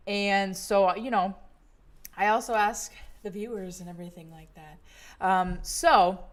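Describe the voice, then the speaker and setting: A young woman from the United States hosting a travel livestream, rambling casually with lots of fillers and small laughs. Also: fairly low pitch. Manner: rambling, many fillers and laughs, relaxed storytelling. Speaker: livestream travel vlogger host. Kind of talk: casual chatty livestream monologue.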